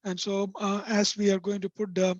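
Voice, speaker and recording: A man with a non-native English accent and a high-pitched, soft voice, presenting in a webinar and pausing often with fillers. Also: completely flat delivery, no room sound. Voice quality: high-pitched, sometimes hard to hear. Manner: hesitant, many fillers. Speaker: vocational rehabilitation presenter. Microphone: video call. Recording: dull dry video call audio.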